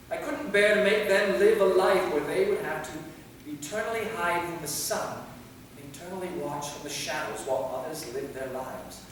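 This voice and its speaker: A man's voice: a little high, lightly acted. A man playing an anguished, weary and bitter immortal vampire in a staged reading. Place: theater, recorded from the audience distance. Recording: echoey hall from far off, faint noise.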